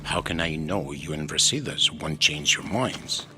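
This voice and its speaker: A weary older ruler from a video game, cautious and grave. The voice is deep, with solemn, measured phrasing, and music plays clearly underneath. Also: male voice. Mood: guarded, grateful. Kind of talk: video game cutscene acting.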